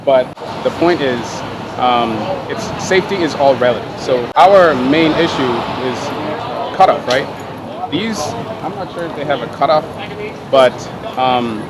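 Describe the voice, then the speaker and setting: A man's voice: medium pitch, unhurried. A man talking casually to camera as a vlogger, explaining things in an easygoing, conversational way and checking in with his listeners. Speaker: vlogger and electric unicycle rider. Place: outdoors on a city street. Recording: outdoor urban recording, loud traffic noise.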